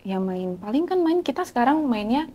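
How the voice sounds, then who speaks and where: A woman in her twenties from Semarang, a podcast guest answering in a relaxed, matter-of-fact way. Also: on the high side, moderate pace, over a noisy crowd. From Semarang, Indonesia. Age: 20s. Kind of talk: casual chat.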